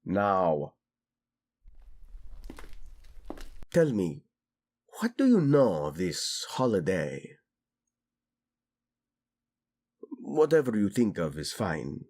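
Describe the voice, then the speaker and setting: Courtly prince, male voice actor: slow, husky, imperious yet teasing, romantic audio drama over music. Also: sits low, wildly swooping pitch, darkish tone, heavily acted. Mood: courteous, measured. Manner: formal, deliberate speech sprinkled with Spanish. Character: haughty, teasing Spanish-speaking fantasy prince, courtly and imperious. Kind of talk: scripted romantic audio roleplay.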